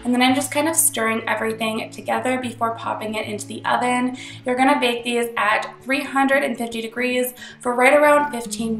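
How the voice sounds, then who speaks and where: A young woman with a slightly high voice, a bit congested from a cold, talks through a recipe in a chatty, upbeat way. She is recorded in a roomy home kitchen, with light music playing underneath. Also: fairly bright tone. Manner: chatty and upbeat, explaining step by step. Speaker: cooking channel host. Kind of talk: casual cooking tutorial voice-over.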